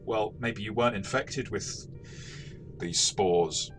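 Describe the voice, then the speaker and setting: A man's voice: on the low side, slow pace, over music. A man talking conversationally as a game master reviewing a game, circling his phrases and repeating words as he goes.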